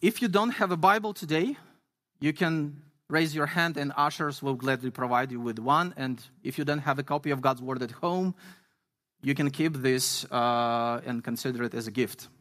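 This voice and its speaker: A man from Ukraine, an English speaker, preaching a sermon earnestly and reading scripture slowly with emphasis. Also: medium pitch, a bit bright. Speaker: guest pastor.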